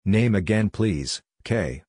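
A man reading out short scripted radio practice phrases in a measured, clipped way, one piece at a time. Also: on the low side, expressive, a little mellow, no room sound, clean studio sound. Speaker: narrator of Morse code practice phrases. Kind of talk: scripted phrase readout for a lesson.

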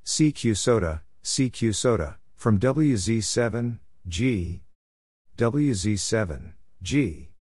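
A man reading out scripted radio exchanges in a measured way, as narrator of a practice lesson. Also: fairly low pitch, lively intonation, a little mellow, slightly rough, dry recording. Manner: measured, spelling out call signs piece by piece. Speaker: Morse code practice narrator.

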